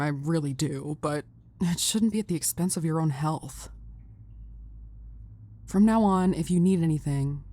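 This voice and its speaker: A woman's voice: low voice, warm tone. A woman voicing a tough, brash young female brawler who teases but means well, in an audio drama. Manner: teasing, blunt, easygoing.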